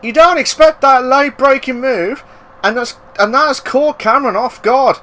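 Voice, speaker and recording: Man, race commentator, high voice, bright and sharp, excitable, loud outbursts. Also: moderate pace. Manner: excitable, bursts into loud exclamations. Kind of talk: live esports racing commentary.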